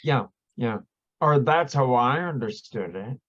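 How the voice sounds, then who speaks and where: A man's voice: medium pitch, expressive, unhurried, a bit bright. A man taking part in a casual group discussion over an online call. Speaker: group participant. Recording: dull online call audio.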